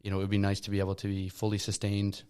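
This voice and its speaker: A man's voice: on the low side, monotone, racing, a little mellow, clear, resonant tone. A man of about twenty, a band member giving laid-back interview answers. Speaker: band musician guest.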